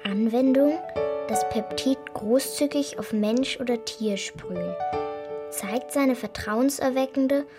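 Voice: medium pitch, slow pace, music in the background. A performer playing a curious, mischievous young girl in a children's radio play, reading aloud in a secretive way.

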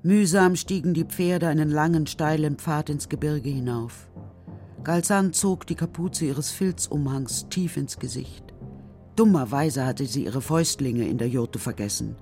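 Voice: medium pitch, unhurried, a little mellow, soft background music. A narrator telling a children's story in a scripted radio drama style.

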